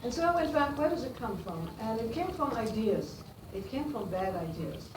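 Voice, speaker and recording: A woman's voice: fairly high pitch, very animated, echoey room. Older Austrian woman, distant in a noisy room. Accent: Austrian German. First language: German. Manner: halting, many fillers and restarts. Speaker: guest speaker to students. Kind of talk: classroom talk.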